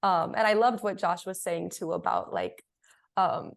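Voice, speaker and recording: A woman's voice: lowish voice, big pitch swings, measured pace, creaky. Woman reflecting aloud in a panel chat. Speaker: panelist, theatre artist. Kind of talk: casual panel discussion.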